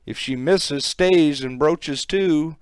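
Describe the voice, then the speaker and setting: A man's voice: a little high, slow, clear, resonant tone, no echo, voice pushed up. A man voicing a frantic boatman in a storybook poem, hollering urgent nautical orders. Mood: frantic, alarmed. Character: frantic boat captain and crew shouting storm orders.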